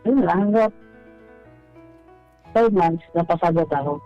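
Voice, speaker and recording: A man calling a radio show, telling a personal story casually, politely and haltingly. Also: high-pitched, mellow. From Bohol, Philippines. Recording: dull, band-limited phone call. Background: music.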